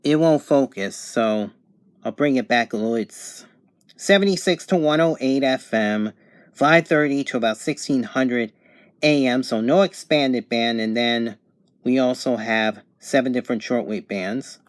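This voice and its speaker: Guy doing a casual unboxing at home, mid pitched voice, kinda halting, figuring it out as he talks. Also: no room sound, studio quality. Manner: unscripted, halting, thinking aloud. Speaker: amateur product reviewer. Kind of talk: casual unboxing and review narration. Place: home bedroom, indoors.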